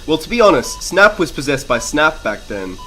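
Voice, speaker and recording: A man voicing an earnest adult wizard who explains matter-of-factly in an amateur animated parody. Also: fairly high pitch, moderate pace, faint music behind.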